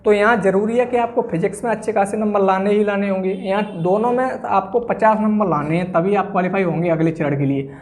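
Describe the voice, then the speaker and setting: A man's voice: sits high, steady tone, a little fast, hardly any pauses. Man explaining exam preparation. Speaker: exam coaching presenter. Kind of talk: informal instructional explainer.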